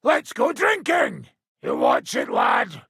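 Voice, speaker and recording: Video game role: boisterous, hard-drinking medieval fight trainer, very husky and forceful, gruff taunts, unhurried delivery. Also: male voice, very high-pitched, huge pitch swings, dry recording, clean studio sound, heavily acted. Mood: boisterous, teasing. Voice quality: raspy, gravelly.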